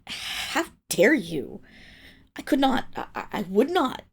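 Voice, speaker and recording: A young woman playing a proud, flustered noble Fenrir monstergirl in an ASMR roleplay, speaking formally with stammers and breathy, whispered dips. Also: on the high side, huge pitch swings, slow pace, full character voice. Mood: indignant, defiant. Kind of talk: ASMR roleplay acting, addressed to the listener.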